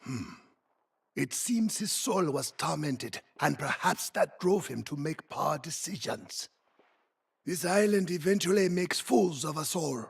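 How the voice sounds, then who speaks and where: An actor playing a wry, worldly ally in a video game, theatrical and drawn-out, with a husky, creaky voice. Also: male voice, on the high side, animated, heavily acted. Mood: wry, musing. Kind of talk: video game character dialogue.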